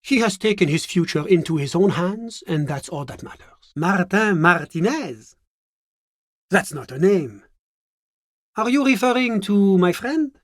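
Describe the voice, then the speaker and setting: A man's voice: high voice, lively intonation, unhurried, dry recording, studio quality. A man voicing a smug, genteel international bureaucrat in a video game, urbane, coy and condescending in a theatrical way. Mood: genial, lofty, then coy, amused. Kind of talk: video game character dialogue.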